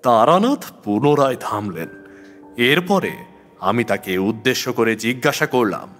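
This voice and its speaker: A man's voice: medium pitch, lively intonation, a little slow, a bit bright, faint music behind. A man narrating a scripted horror story in a theatrical, suspenseful way.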